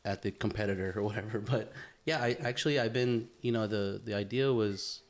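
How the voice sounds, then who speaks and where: A man's voice: lowish voice, fairly quick. A man in his thirties co-hosting a podcast, talking in a friendly, encouraging way with lots of fillers. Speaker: podcast co-host. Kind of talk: casual chat.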